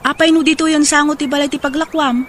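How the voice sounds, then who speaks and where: A woman's voice: high-pitched, fairly even intonation, fast talker, a bit bright, a little hiss or hum. A woman playing a helpful cousin in a radio drama, speaking with an Ilocano accent. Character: helpful cousin offering advice to a vendor.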